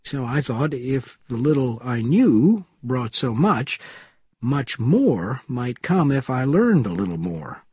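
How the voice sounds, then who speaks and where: A man performs an elderly fallen judge in a thriller audiobook, raspy and close and dry. He talks in formal, wordy sentences with wry self-awareness. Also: medium pitch, big pitch swings, takes it slowly, a little mellow, dry recording, heavily acted. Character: old disgraced former American judge, wordy and wry.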